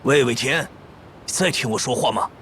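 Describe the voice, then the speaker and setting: An actor playing a suspicious underworld guard in a video game, talking in a challenging, accusatory way. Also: male voice, medium pitch, moderate pace, a bit bright, slight background noise, lightly acted. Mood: accusing. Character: suspicious, confrontational male Chinatown underworld guard. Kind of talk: video game dub dialogue.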